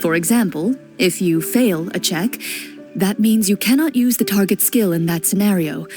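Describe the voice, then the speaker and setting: A woman voicing a composed woman who runs the game and explains its rules calmly. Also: mid-range voice, faint music behind, natural voice. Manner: calm, explanatory, measured. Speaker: game master. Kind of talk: game voice acting.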